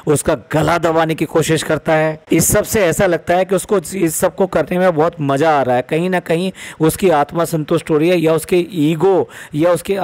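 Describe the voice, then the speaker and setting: Guy doing opinionated commentary, kinda high voice, repeats himself for emphasis, throws out rhetorical questions. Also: darkish tone. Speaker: commentary vlogger.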